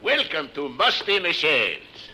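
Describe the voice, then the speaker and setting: An actor playing an eager, fussy French restaurant waiter with a French accent in 1950s radio comedy. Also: male voice, on the high side, big pitch swings, slow pace. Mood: welcoming, eager. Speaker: comic supporting actor. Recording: noisy old radio recording.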